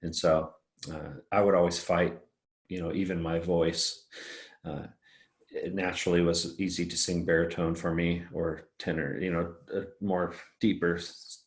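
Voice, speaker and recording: A middle-aged man with a deep baritone voice, chatting in a relaxed, rambling way as a podcast guest and laughing often. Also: unhurried, echoey room. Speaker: guest singer-songwriter. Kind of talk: casual interview chat.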